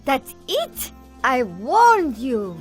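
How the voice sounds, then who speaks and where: A woman's voice: high-pitched, wildly swooping pitch, very slow, over music, heavily acted. A woman playing a proud, dramatic cartoon singer heroine who sprinkles her speech with Spanish. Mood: defiant. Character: feisty, proud, self-doubting woman singer, defiant underdog. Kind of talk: animated cartoon voice acting.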